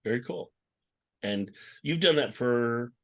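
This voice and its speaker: A man's voice: mid-range voice, expressive, takes it slowly, a bit bright. A man hosting a podcast panel in a casual, chatty style. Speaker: podcast host. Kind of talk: casual chat.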